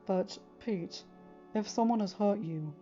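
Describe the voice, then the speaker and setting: A woman voicing a protective fatherly man, measured and restrained, in a story reading. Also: medium pitch, warm tone, highs cut off, faint music behind, natural voice. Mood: careful.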